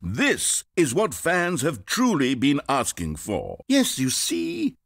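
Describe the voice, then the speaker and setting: A man's voice: husky, no echo, heavily acted. An actor with a British accent playing a smug, scheming male game narrator, speaking theatrically. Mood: grandiose. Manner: theatrical, swinging wildly in pitch. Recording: dry studio voice-over.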